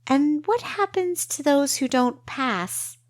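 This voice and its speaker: A woman's voice: high voice, expressive, slow pace, dry recording, studio quality, pitched above the performer's own voice. A woman voicing a wary young female spy trainee, asking pointed questions in an audiobook. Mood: probing.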